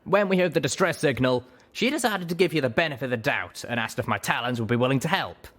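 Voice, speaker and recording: A man voicing a brisk, wry female griffin mercenary leader in a storybook reading, chatty and blunt. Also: mid-range voice, animated, fairly bright tone, pitched above the performer's own voice. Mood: explaining.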